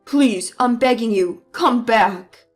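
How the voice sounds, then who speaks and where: A woman voicing an angry, selfish husband who yells and bullies, then begs. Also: fairly high pitch, slow pace, faint music behind. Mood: pleading. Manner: yelling, bullying, then pleading.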